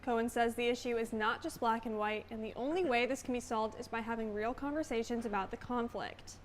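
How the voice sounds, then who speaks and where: A woman's voice: on the high side, brisk, slight background noise. A young woman anchoring a student newscast, reading the script with upbeat delivery. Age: young adult.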